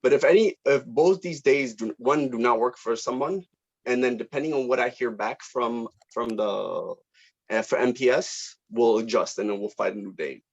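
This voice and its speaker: A man speaks casually and appreciatively, like a director organizing his cast. His phrasing is hesitant and informal, and his mid-pitched voice comes through muffled, lo-fi call audio. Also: normal speed, breathy edge. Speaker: student film director running a table read meeting. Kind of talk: casual meeting chat. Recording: dull lo-fi online call audio.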